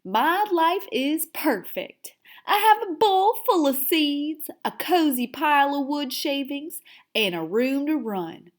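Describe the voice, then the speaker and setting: Woman reading as a dramatic little hamster, very animated. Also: sits high. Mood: blissfully content. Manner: theatrical, with drawn-out emphasis and hushed asides. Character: excitable, dramatic little hamster telling his diary. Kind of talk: expressive children's read-aloud.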